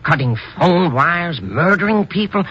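An actor playing a nervous, slow-witted but loyal sidekick in a 1950s radio drama, stammering in slangy, ungrammatical speech. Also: male voice, sits high, expressive, bright, forceful tone, slightly rough, heavily acted. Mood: nervous, puzzled. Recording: muffled, noisy old radio broadcast.

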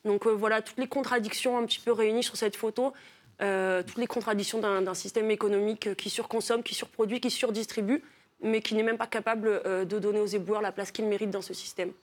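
A woman's voice: medium pitch, fairly even intonation, quick pace. A woman from Marseille, a philosopher and author, explaining her ideas as a talk show guest with many hesitations. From Marseille, France. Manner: thoughtful, many hesitations with euh. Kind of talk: studio interview.

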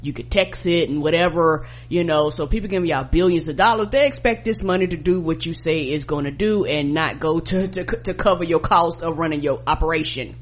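A woman's voice: sits low, a little fast, few pauses. A woman co-hosting a podcast, giving casual, colloquial commentary. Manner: colloquial, plain-spoken. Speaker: podcast co-host.

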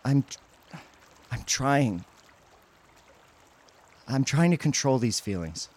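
A man's voice: mid-range voice, lively intonation, darkish tone. An actor playing an anguished, devout teenage boy in an audio drama, full of self-loathing and stammering as he speaks. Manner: halting, stammering. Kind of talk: emotional audio drama acting.

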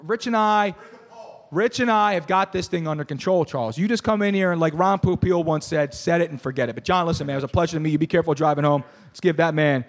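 A hoarse man hosting a casual sports talk show, excitable and rambling with lots of restarts. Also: fairly high pitch, quick pace, slight background noise. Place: bar venue.

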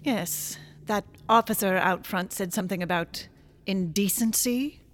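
A woman's voice: mid-range voice, unhurried, a little hiss or hum, natural voice. Woman acting a blunt, tough sceptical customer. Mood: wary, sceptical. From Chicago, USA (the character). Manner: blunt, no-nonsense. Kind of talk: horror radio drama acting.

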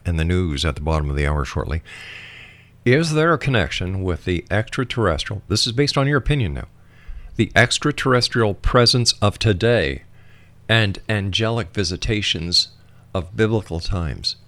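A man's voice: low-pitched, lively intonation, brisk, a bit bright. A man hosting a radio talk show and interviewing his guest.

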